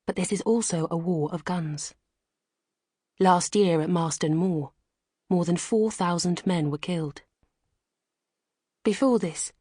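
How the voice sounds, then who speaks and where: A woman narrates a historical story in a solemn, measured manner. Her voice is fairly low and very breathy, recorded close and dry with little room around it. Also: a little mellow, no room sound. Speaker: audiobook narrator. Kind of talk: scripted dramatic audiobook narration.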